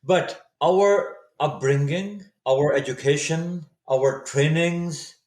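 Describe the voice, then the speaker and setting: A man in his fifties from Saudi Arabia, a native Urdu speaker, telling his story as a podcast guest, thoughtful and often pausing to restart his words. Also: fairly high pitch, darkish tone. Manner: reflective, searching for words with frequent restarts. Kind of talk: casual interview storytelling. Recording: echoey room sound.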